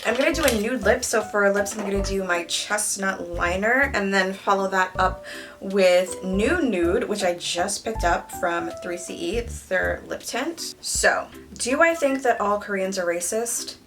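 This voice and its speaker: Candid young woman vlogger, soft music behind. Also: medium pitch, a little slow. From Canada. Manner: candid, animated, talks to the viewers directly. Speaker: beauty vlogger. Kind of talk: casual chat while doing makeup. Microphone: camera mic, close.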